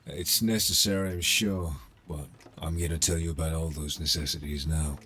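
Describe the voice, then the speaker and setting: A man's voice: extremely low pitch, fairly even intonation, moderate pace, fairly bright tone, faint music behind. A man playing a cocky, sardonic criminal middleman in a cyberpunk ASMR roleplay, casual and streetwise, teasing as he talks. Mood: businesslike, streetwise.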